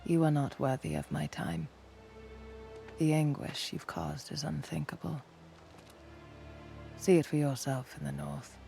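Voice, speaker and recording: A man voicing a bitter, proud nature spirit in a video game, with a gravelly voice and an imperious, contemptuous delivery. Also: fairly high pitch, fairly even intonation, unhurried, pauses often, over music. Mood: cold contempt. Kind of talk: video game cutscene acting.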